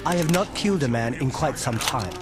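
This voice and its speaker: A man's voice: mid-range voice, animated, brisk, heavy background noise, natural voice. An actor playing a dignified older male crime boss in a video game cutscene, speaking formally and politely. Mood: courteous, composed.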